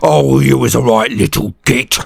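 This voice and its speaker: A man's voice: mid-range voice, normal speed, no echo, clean studio sound, faint music behind, pitched above the performer's own voice. A man voicing a hulking, brutish male orc warlord who barks taunts in coarse slang. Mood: enraged. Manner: gruff slangy barking, coarse grammar.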